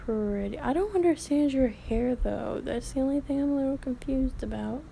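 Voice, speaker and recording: A woman's voice: high voice, moderate pace, vocal fry, mellow, slight background noise. A woman in her late teens giving casual, rambling commentary as a vlogger, with the odd whispered aside.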